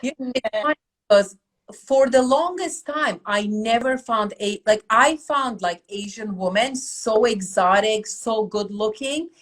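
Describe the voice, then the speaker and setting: A middle-aged woman from Turkey with a Turkish accent, hosting a casual podcast chat in an animated, emphatic way. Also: on the high side, measured pace. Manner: animated, emphatic, asks rhetorical questions. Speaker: academy host.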